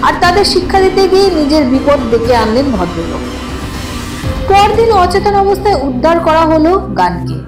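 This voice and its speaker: A woman narrating a scripted horror audio story in a suspenseful, expressive way. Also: high voice. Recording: roomy echo, distant, loud music bed.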